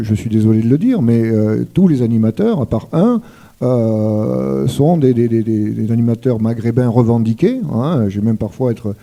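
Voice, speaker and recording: A man's voice: medium pitch, warm tone. A man who runs a school, answering at a public debate, candid and hesitant, repeating words. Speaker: school director.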